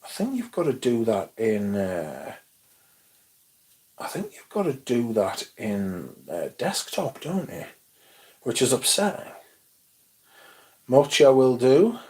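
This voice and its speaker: A young man hosting a casual livestream, reading chat comments aloud and chatting in a loose, relaxed way with casual swearing. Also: mid-range voice, slow, pauses often, poor audio. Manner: laid-back, swears casually, mumbles asides, reads chat aloud. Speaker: livestream host.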